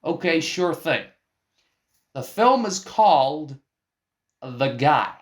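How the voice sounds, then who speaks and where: Man playing an eccentric, high-pitched, slow-talking cryptic guy. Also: lively intonation. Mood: self-important. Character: eccentric, evasive, stubbornly cryptic male film collaborator. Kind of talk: scripted comic mock interview.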